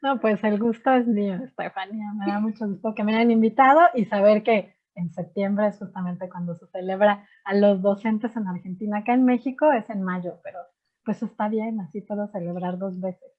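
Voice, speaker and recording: A woman with a Mexican accent, a chemistry teacher in her thirties, talking casually as a radio guest and filling pauses with "este" and "pues". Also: mid-range voice, narrow, tinny audio. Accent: Mexican Spanish. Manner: chatty, frequent fillers like "este" and "pues". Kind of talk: relaxed radio interview chat.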